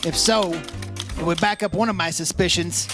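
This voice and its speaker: A man playing a brisk, insistent insurance investigator in an old-time radio detective drama, with a clipped, commanding delivery. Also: high voice, steady tone, over music. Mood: brisk, businesslike.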